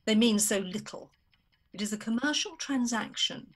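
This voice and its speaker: A woman's voice: fairly high pitch, moderate pace, close to an everyday voice. A woman acting a scheming, elegant Victorian adventuress in a radio play, with a haughty, theatrical manner. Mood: cool, haughty.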